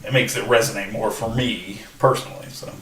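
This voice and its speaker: A man's voice: fairly low pitch, fairly even intonation, fairly quick, fairly bright tone, far from the mic. A man hosting a podcast, chatting loosely and eagerly at a table. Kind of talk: casual roundtable chat. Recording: echoey room, away from the mic.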